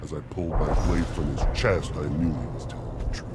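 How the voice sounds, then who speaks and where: Casting: arrogant alien warrior scientist for a game, deep and irritable, noisy rumble behind. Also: male voice, steady tone, a little fast. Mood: proud, irritated. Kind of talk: video game character acting.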